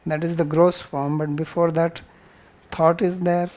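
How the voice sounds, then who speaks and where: A man's voice: on the high side, little pitch movement, measured pace, darkish tone. A man with an Indian accent teaching a scripture class, explaining patiently with simple examples and repetition. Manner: didactic, repeats points, uses simple examples. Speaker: spiritual teacher.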